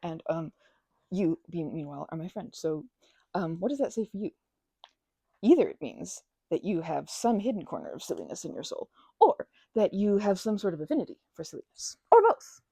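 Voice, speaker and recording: A woman playing a goofy, caring, scatterbrained best friend in a casual ASMR roleplay, with soft, rambling, halting speech. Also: fairly low pitch, big pitch swings, gravelly, husky, no echo, clean studio sound, exaggerated voice. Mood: playful. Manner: rambling, halting, self-interrupting, giggly.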